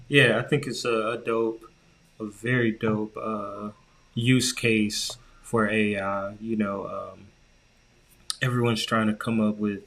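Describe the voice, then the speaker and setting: A man's voice: fairly low pitch, fairly bright tone. A man co-hosting a podcast, chatting informally and slangily in a casual conversational style. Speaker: podcast co-host. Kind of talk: casual conversational tech chat.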